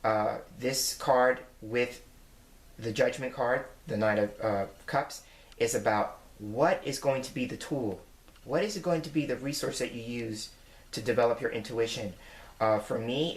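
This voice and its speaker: Man in his forties, roomy sound. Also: medium pitch. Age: 40s. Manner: earnest, reflective, explaining patiently. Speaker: tarot reader. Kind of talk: weekly tarot forecast, explaining cards. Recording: roomy echo.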